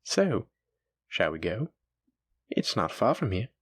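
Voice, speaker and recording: A man playing a doting, gently possessive dragon-blooded librarian, speaking tenderly and coaxingly to his sweetheart in an intimate audio roleplay. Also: on the low side, very animated, slow pace, noisy background. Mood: playful, coaxing. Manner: tender, coaxing, affectionate, reassuring. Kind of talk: intimate scripted audio roleplay addressed to the listener.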